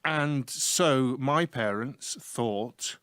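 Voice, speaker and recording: A middle-aged man with a broad Yorkshire accent talks in an easygoing, joking way, like a teacher spinning yarns. His voice sits at an everyday pitch. The sound is close and dry, recorded in a small room. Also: very slow, a bit bright, smooth, no echo. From north of England. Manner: chatty, humorous storyteller. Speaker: IT teacher and show host. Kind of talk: casual radio chat.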